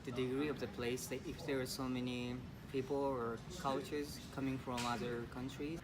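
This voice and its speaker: Halting young man. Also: medium pitch, level tone. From Japan. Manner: halting, many fillers. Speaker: interviewee. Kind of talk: casual interview answers. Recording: noisy background.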